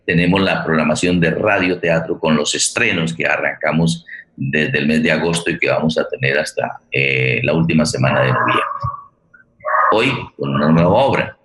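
A man with a Colombian accent hosting a streamed program, speaking formally in halting, wordy, deliberate phrases. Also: a bass voice, fairly bright tone, slight background noise. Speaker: host of a streamed theatre program. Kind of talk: formal spoken introduction. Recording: roomy echo on the voice.

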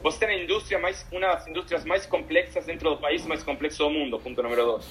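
An Argentine man with a fairly high voice speaks Portuguese with an Argentine Spanish accent. His first language is Spanish, and he builds his answer as a numbered list, stopping and restarting as he goes. Soft music plays faintly beneath him. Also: fairly even intonation, low-quality recording. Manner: lists points one by one, halting. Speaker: company founder interviewee. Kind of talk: casual interview answer.

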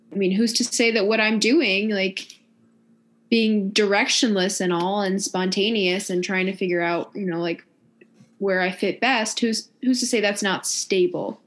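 A woman in her twenties talking reflectively in a casual discussion, hedging with fillers. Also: medium pitch.

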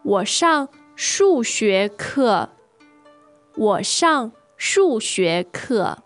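A fairly high-voiced woman co-hosts a podcast language lesson, speaking very slowly. She models phrases with careful pronunciation, and her intonation moves a great deal. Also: very animated, no room sound, soft background music. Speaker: native-speaker co-host teacher.

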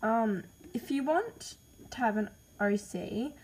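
Slow, hesitant Australian woman. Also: on the high side, lively intonation, a little mellow, husky, rough recording. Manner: hesitant, many ums, apologetic. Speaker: podcaster listing name ideas. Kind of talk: casual solo voice message.

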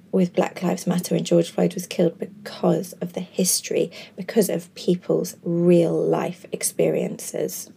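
A woman's voice: lowish voice, creaky, dark tone, breathy edge. A woman in her thirties, hosting a podcast and musing earnestly in a chatty way full of fillers. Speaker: podcast host. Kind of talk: reflective monologue.

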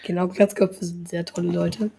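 A woman's voice: on the low side, flat delivery, normal speed, vocal fry, warm tone, slightly rough. A young woman in her teens hosting a casual podcast, rambling along with lots of filler words. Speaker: podcast host.

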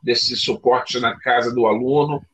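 A man's voice: mid-range voice, steady tone, measured pace, fairly bright tone, slight background noise, poor audio. A man who is a teacher and father, talking reflectively on a podcast with frequent tag questions. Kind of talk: casual podcast chat.

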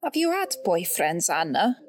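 A woman's voice: on the low side, very animated, moderate pace. A woman playing a weary, dry-witted political staffer exasperated by colleagues in a comedy drama. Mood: nosy, dry. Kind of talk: comedy audio drama dialogue.

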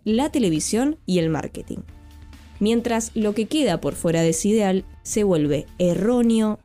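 Female narrator, warm and slightly high, scripted and earnest, light music bed. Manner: measured, earnest. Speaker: documentary narrator.

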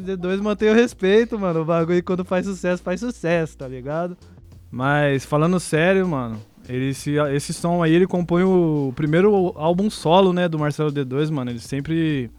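A man's voice: sits high, a little mellow. A man radio DJ chatting casually between tracks in a slangy, streetwise way. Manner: slangy, streetwise, keeps saying "mano".

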